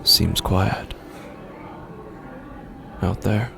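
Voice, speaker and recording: A man narrating a first-person horror story in a halting, uneasy way, often breaking off mid-sentence, over a music score. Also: deep voice, fairly even intonation, gravelly. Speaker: podcast narrator.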